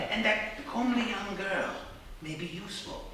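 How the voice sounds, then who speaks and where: A man's voice: high-pitched, measured pace. A man playing a grandiose, aggrieved mad scientist in a comic horror radio play, ranting theatrically. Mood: grandiose, bitter. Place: theatre stage before a live audience. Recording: echoey theatre, distant mic, faint noise.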